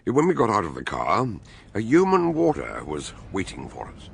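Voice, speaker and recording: A man acting a courteous, inquisitive, learned English detective and storyteller in a radio drama, speaking deliberately and articulately. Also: lowish voice, wildly swooping pitch, vocal fry, slightly rough, no room sound, heavily acted. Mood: measured, grave. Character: measured, inquisitive learned male sleuth and storyteller. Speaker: investigator and narrator. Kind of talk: radio drama dialogue and narration.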